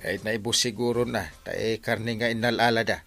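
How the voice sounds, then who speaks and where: A man's voice: lowish voice, fairly even intonation, normal speed, gravelly, music in the background. A man playing a grumpy, reluctant village husband in an Ilocano radio comedy drama, grumbling dismissively with an Ilocano accent.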